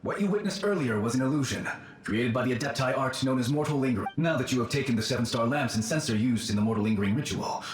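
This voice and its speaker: A man playing a calm, courteous thousand-year-old spirit in a video game, formal and explanatory, with a ghostly echo. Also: mid-range voice, fast. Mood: calm, revealing. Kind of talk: video game character acting. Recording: echoing voice, faint background noise.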